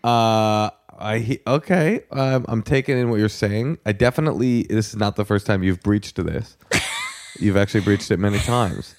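A man's voice: lowish voice, normal speed, a little mellow, dry recording, studio quality. An American man co-hosting a comedy podcast, chatting casually in a wry, joking way. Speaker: podcast co-host.

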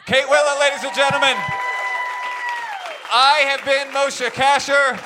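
A man's voice: very high-pitched, flat delivery, unhurried, crowd noise, background noise. A man co-hosting a live comedy podcast on stage, brash, crude and teasing with the guests. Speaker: podcast co-host.

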